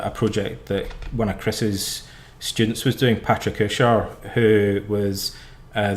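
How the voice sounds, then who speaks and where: Low-voiced man chatting casually online. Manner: rambling and conversational, with frequent "like" and "uh"; friendly and enthusiastic. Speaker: author and psychotherapist presenting his course. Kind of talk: casual livestream talk reading audience chat.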